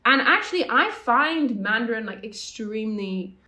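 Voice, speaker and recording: A woman's voice: a little high, expressive, bright, forceful tone. A young woman from Hong Kong co-hosting a casual podcast chat, rambling and correcting herself as she goes. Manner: rambling, self-correcting, quick asides. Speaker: podcast co-host.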